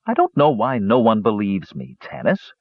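A man's voice: on the low side, huge pitch swings, normal speed, slightly rough, no echo, heavily acted. A man voicing an earnest, mischievous young male kender who talks eagerly and pleads to be believed. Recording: dull dry recording.

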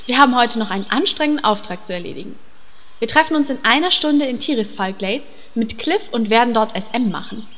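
Bossy teasing priestess voiced by a woman, fairly high voice, long reverb, faint noise. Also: lively intonation, fairly quick, fairly bright tone. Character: bossy female priest Uschi, group leader.

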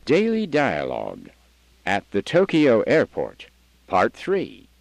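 A man's voice: on the low side, wildly swooping pitch, slow pace, creaky, no room sound, rough recording. A man making scripted instructional announcements for a language lesson.

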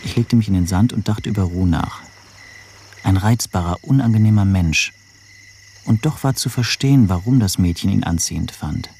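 A man's voice: low-pitched, moderate pace, creaky, darkish tone, slightly rough, exaggerated voice. An actor playing an anxious, scheming man who narrates his own suspicions in a German radio play. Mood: measured, brooding. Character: anxious, scheming male first-person narrator, brooding over suspicions.

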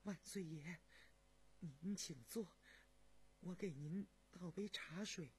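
A man voicing a timid, anxious young palace woman in an audiobook, soft and deferential with a breathy tremble. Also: high-pitched, takes it slowly, voice pushed up, full character voice. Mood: nervous, deferential. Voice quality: husky, breathy, slightly trembling. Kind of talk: dramatised audiobook character voice.

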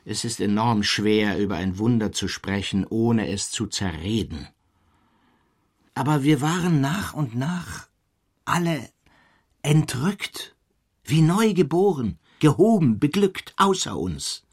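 An actor playing an earnest, irritable middle-aged scholar in a German radio play, speaking in elevated, emphatic, bookish phrases. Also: male voice, medium pitch, big pitch swings, slow, darkish tone, breathy edge, heavily acted. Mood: fervent. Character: earnest, irritable male scholar enraptured by a miracle.

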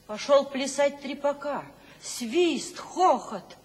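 An actress playing a grave narrator who sets the scene in terse fragments in a radio drama. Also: female voice, high-pitched, animated, slow pace, a little mellow. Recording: long hall reverb.

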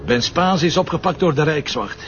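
A man's voice: a little high, normal speed, close to an everyday voice. An actor playing a tense, sardonic, defiant male police detective with a Flemish accent in a radio drama. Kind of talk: Dutch-language radio drama acting. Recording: dull lo-fi audio with faint noise.

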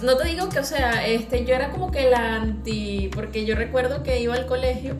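A Venezuelan woman co-hosting a podcast in casual chat, speaking informally and haltingly, with thoughts that trail off. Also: on the high side. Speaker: podcast co-host. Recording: roomy echo, music behind.

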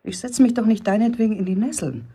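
A strict, middle-aged landlady scolds in a radio play. Her voice sits at an ordinary female pitch. She is brusque and bossy, nagging in plain colloquial speech like a mother used to giving orders. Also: animated, quick pace, mellow, breathy edge. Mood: grumbling, scolding. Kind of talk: German radio play acting.

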